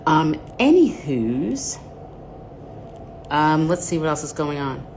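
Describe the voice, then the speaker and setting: Low-voiced nasal woman hosting. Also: sing-song, slow, a little mellow, a little hiss or hum. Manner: chatty, greets viewers by name, rambling asides. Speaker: host of a live stream. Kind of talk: casual live-stream chat.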